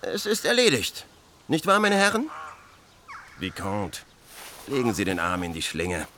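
An actor in a radio drama playing a courteous, self-assured baron acting as a duel second, formal and measured in manner. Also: male voice, on the low side, wildly swooping pitch, bright, forceful tone, a little hiss or hum, full character voice. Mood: brisk, authoritative.

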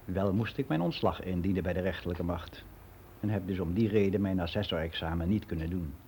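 Older man giving frank, matter-of-fact radio play monologue; soft dark tone, slightly noisy recording. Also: sits low, fairly quick. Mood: matter-of-fact, reminiscing. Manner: matter-of-fact, rambling, candid.

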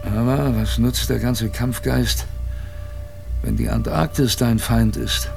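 A man's voice: medium pitch, steady tone, takes it slowly, vocal fry, darkish tone, soft background music. An actor playing a former ship's boy who narrates his memories in a radio drama, conversational, vivid and self-mocking. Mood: wondering, ominous. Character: reminiscing former ship's boy, a wry male storyteller.

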